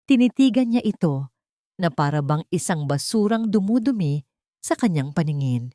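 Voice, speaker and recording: A woman's voice: low voice, a little mellow, dry recording, studio quality. A woman storyteller reading a scripted, dramatic story narration.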